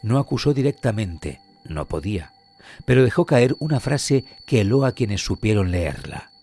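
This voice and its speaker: A man narrating a scripted mystery story in a solemn, dramatic manner with deliberate pauses and a husky voice. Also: fairly low pitch, very animated, dark tone. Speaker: podcast narrator. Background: background music.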